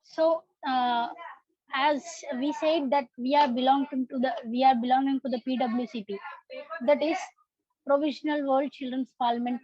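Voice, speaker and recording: High-voiced young-parliament presenter, Indian woman. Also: fairly even intonation, moderate pace, mellow, no room sound. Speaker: youth parliament prime minister, presenter. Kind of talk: prepared presentation. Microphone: video call. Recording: muffled video-call audio.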